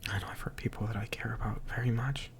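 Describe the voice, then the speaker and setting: Man, low creaky voice, flat intonation, hushed and halting, slightly tipsy, confessional audio diary. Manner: halting, hushed, introspective, often trailing into whisper. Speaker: audio diarist.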